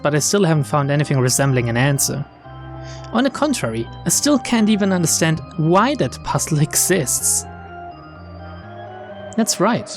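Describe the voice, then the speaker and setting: A let's player casually commentating and reading a visual novel's narration aloud. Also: mid-range voice. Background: game music behind the voice.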